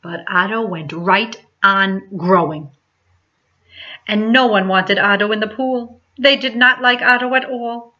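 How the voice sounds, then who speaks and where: A woman's voice: medium pitch, measured pace, bright, forceful tone. A woman reading a children's story aloud in a dramatic, emphatic storytelling manner, like a teacher to a class. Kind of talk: expressive picture-book read-aloud. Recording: dull lo-fi sound.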